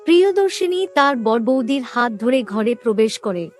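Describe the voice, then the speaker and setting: High-voiced woman narrating a story. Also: animated, normal speed. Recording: music under the voice.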